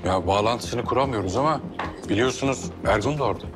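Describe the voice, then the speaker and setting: An actor playing an uneasy man reporting bad news in a halting, uncertain way in a TV drama. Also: fairly low pitch, close to an everyday voice. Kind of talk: TV drama acting. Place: hospital corridor. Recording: dramatic music behind the voice.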